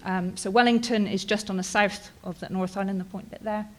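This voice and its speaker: A woman's voice: mid-range voice, steady tone, brisk. A woman in her thirties with a British accent, presenting her research at a conference in a casual, chatty way with frequent ums and "right?" tags. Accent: British English. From England, Bristol.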